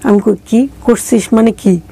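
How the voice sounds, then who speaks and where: A woman's voice: fairly high pitch, measured pace, dark tone, slightly rough. A woman with a regional Bangladeshi accent telling her life story conversationally, in colloquial words, as an interview guest. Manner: colloquial, acting out remembered dialogue.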